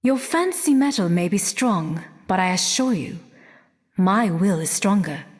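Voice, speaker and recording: An actress voicing a confident, defiant psychic warrior in a video game, proud and formal. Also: female voice, mid-range voice, animated, unhurried, natural voice. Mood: defiant, assured. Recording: long echoey hall reverb.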